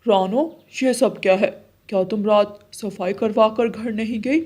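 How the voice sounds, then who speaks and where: A woman's voice: medium pitch, steady tone, a little slow, darkish tone, natural voice. A woman voicing a stern, scolding but fair male pigeon who runs a business in a cartoon. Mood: angry scolding. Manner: firm, admonishing.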